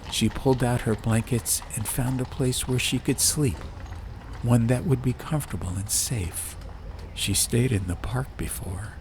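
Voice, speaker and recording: Male audiobook narrator, lowish pitch, atmospheric storybook reading, scripted, faint ambient noise behind. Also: a little mellow. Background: ambient background sound.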